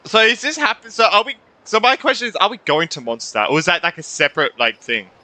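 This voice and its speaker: A young man giving casual, rambling, excitable reactions as he plays a video game. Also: sits high, fast. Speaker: streamer playing a video game. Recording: game music faintly behind.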